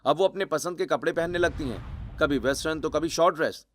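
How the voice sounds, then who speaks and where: A man's voice: a little high, fairly quick, a little hiss or hum. A man reading scripted narration for a cartoon family story. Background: background music.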